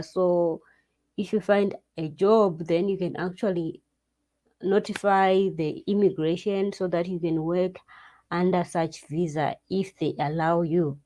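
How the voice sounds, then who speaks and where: A woman with a fairly low, warm, mellow voice gives practical advice to her viewers. She takes her time, drifting into asides and restarting her sentences as she goes. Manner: unhurried, loose, with restarts and asides. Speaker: vlogger giving job advice. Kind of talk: casual advice talk to camera. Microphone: screen-share recording.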